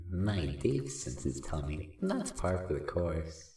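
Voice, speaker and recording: A performer plays a very deep-voiced, unhurried cat-bird-troll sprite in a fan audio drama. The creature is cocky and playful, drawling its slang coolly. The recording is noticeably roomy and echoing. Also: very animated, mellow, full character voice. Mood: wry.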